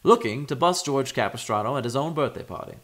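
A man's voice: mid-range voice, animated, fast, heavy background noise, close to an everyday voice. A man playing the dramatic narrator of an old-time radio serial.

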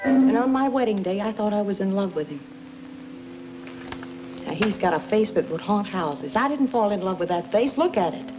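A woman's voice: medium pitch, expressive, moderate pace, pauses often, lightly acted. A dull vintage film track with music behind it carries an actress playing a brassy gangster's moll. She is sardonic and blunt, needling in hard-boiled 1950s crime drama style. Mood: sardonic, coaxing.

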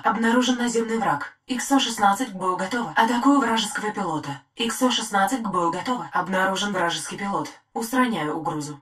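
An actress playing a loyal female titan robot AI in a video game, delivering crisp, formal military callouts. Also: medium pitch, fairly bright tone, natural voice. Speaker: in-game battle announcer. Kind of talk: short scripted combat callouts.

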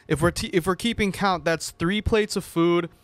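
Chatty young man, bright and smooth. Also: sits high, moderate pace, no room sound. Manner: chatty, rambling with fillers and repeats. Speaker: sports show co-host. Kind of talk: casual chat. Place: small TV studio, indoors. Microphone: desk mic on boom arm.

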